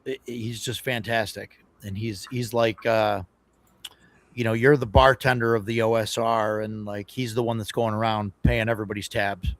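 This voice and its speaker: A man's voice: lowish voice, flat delivery, moderate pace, no echo. Man chatting on a dry, close mic. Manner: agreeable, lots of "yeah" and fillers. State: voice still recovering, slightly worn. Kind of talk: casual podcast chat.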